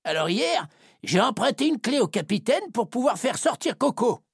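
A woman's voice: very animated, vocal fry, husky, dry recording, clean studio sound, full character voice. A woman doing a pleading one-eyed pirate. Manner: low, slow and pleading. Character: anxious one-eyed male pirate sailor.

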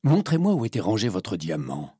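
A sharp, courteous storybook detective, voiced by a male narrator, lifting his voice brightly above his usual low tone. He questions everyone with lively curiosity. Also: normal speed, slightly rough, no echo, studio quality, exaggerated voice. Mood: inquisitive. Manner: courteous and inquisitive. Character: clever, polite male detective.

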